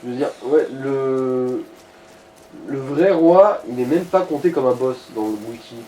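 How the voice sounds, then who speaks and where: A man's voice: mid-range voice, a little slow, dark tone. A man commentating a video game in casual chat, blunt and slangy with some swearing. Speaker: let's play co-commentator. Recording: distant mic with faint noise.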